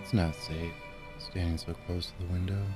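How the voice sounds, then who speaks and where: Horror audio drama: male actor as a controlling creature, very low-pitched, menacing beneath false tenderness, over music. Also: little pitch movement, normal speed, natural voice. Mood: creepy, soothing.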